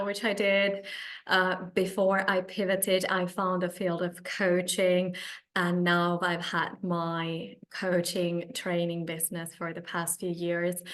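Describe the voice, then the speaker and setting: A woman from Finland with a Finnish accent, coaching a live online meetup and addressing participants directly in halting phrases. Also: mid-range voice, bright, forceful tone. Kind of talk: live webinar presentation to participants.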